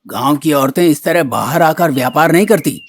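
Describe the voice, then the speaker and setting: A man's voice: on the high side, expressive, moderate pace. A man voicing a stern, traditional village headman in a moral-story cartoon, speaking with authority. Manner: authoritative, admonishing.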